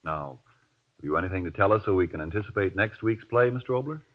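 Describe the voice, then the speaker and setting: A man's voice: low-pitched, animated, fast talker. A man working as a 1940s radio announcer, with polished, emphatic salesmanship in his delivery. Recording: tinny narrow vintage radio recording.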